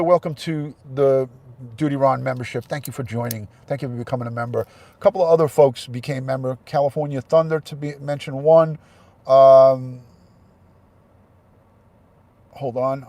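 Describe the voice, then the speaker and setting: A man's voice: medium pitch, steady tone, normal speed, gravelly, warm tone, dry recording. A man hosting a casual livestream, congested with a head cold, chatting and thanking viewers by name. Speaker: livestream host.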